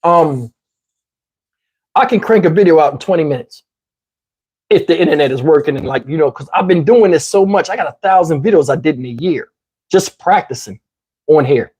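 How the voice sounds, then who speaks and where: Rambling man with a fairly high voice. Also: animated, normal speed, long pauses, darkish tone, breathy edge, muffled recording. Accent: Southern US English. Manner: rambling, conversational, asks "you know what I'm saying". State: voice getting tired, throat parched. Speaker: YouTube commentary host. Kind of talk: casual livestream talk to viewers.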